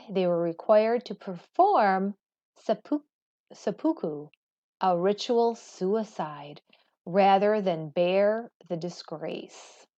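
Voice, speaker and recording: Slow, lilting woman reading a lesson aloud. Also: mid-range voice, a little mellow. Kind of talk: read-aloud educational narration. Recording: slightly dull, lo-fi sound.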